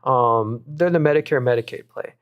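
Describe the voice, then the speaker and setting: A man's voice: mid-range voice, clear, resonant tone, lo-fi sound. A young man hosting a live stream, reading viewers' comments and talking through stock picks in a casual, upbeat way with lots of fillers. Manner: casual, upbeat, quick asides, frequent fillers and restarts. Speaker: stock market livestream host.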